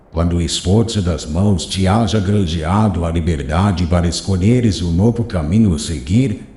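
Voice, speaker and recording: Elderly sage played by a man, measured and paternal, deep mellow tone, echoey room. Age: older. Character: old wise male rabbi, gentle teacher. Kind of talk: audiobook character reading.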